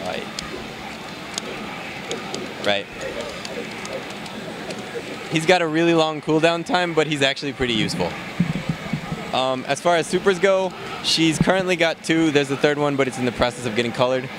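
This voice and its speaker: A man casually walking through a game character's moves, explaining in a relaxed way with many fillers, recorded at a noisy event. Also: on the high side, moderate pace, long pauses. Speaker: game developer demoing a character. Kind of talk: casual gameplay walkthrough. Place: convention floor, noisy.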